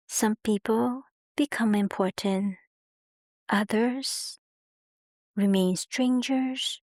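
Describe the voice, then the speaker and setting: Slow-paced woman guiding a meditation. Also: medium pitch, no echo, clean studio sound. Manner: slow, unhurried phrases with gentle pauses. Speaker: meditation guide. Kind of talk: guided meditation, soothing scripted narration.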